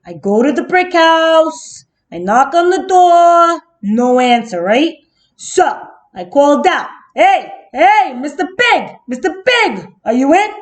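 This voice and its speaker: A woman's voice: high-pitched, sing-song, slow pace, full character voice. A woman voicing a self-justifying, slyly innocent male wolf who tells his side of a children's story with chatty, dramatic indignation. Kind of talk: first-person children's storybook narration.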